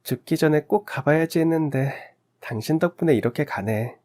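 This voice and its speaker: An anxious young bride, voiced by a man, speaking gently and earnestly to a spouse. The pitch sits a little raised, in radio-drama style. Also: moderate pace, lightly acted. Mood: tender. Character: young newlywed wife, anxious, earnest and grateful.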